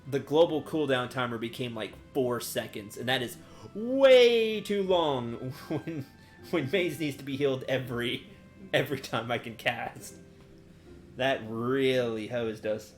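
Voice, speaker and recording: A man giving casual live commentary as a video game streamer, halting, rambling and amused. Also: medium pitch, lively intonation, soft background music.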